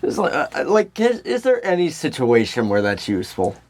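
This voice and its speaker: A man's voice: on the high side, huge pitch swings, fairly quick. A young man co-hosting a podcast in casual comedic banter, joking and wandering off on tangents. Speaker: podcast co-host.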